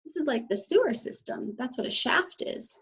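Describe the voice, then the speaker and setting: A woman teacher reading a children's story aloud and explaining it to young pupils in an expressive way. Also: high-pitched, moderate pace, gravelly. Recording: slightly dull, lo-fi sound.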